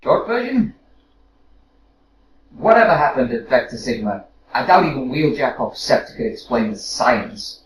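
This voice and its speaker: An actor playing a casual, flustered robot soldier in an audio drama, hesitant and rambling, recorded roomily from a distance. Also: male voice, medium pitch, big pitch swings, moderate pace, pauses often. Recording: roomy, distant mic with noise.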